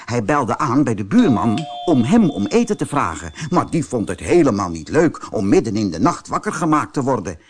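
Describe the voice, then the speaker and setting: A man narrating a children's fairy tale audiobook as an expressive, scripted storyteller. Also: medium pitch, normal speed, hardly any pauses.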